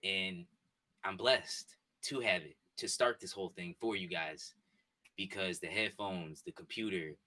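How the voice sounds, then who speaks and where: A man in his twenties chatting casually with his live-stream viewers from a home setup, excitable and repetitive. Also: low-pitched, measured pace. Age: 20s. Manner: excitable, repetitive, talks straight to chat. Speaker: sports streamer. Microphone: desk mic and headphones.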